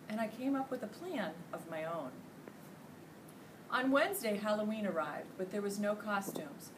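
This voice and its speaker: A middle-aged woman with an American accent reads a children's story aloud with plenty of expression. She has a medium-pitched voice and sounds like a teacher in a classroom. The mic is some way off and the room noise is clearly audible. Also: distant mic. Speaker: teacher reading aloud to pupils. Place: classroom, indoors.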